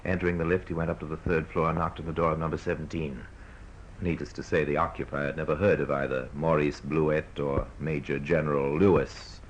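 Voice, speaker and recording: A man acting as the grave, wry narrator of an old-time radio crime drama, speaking in measured storytelling style. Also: rumbling low, little pitch movement, slight background noise. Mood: wry, ominous. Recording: dull old radio recording.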